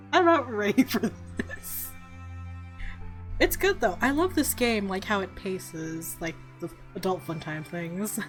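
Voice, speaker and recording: A woman's voice: medium pitch, slow, soft background music. A woman giving casual let's play commentary on a game, reading bits aloud, with flustered, giggly reactions. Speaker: video game streamer.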